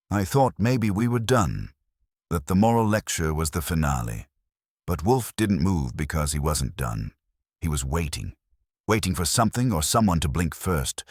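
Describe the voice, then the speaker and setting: Male audiobook narrator for vintage whodunit: bottom-register voice, animated intonation, dry sound. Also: very deep voice, no room sound. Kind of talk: scripted narration of a vintage detective story.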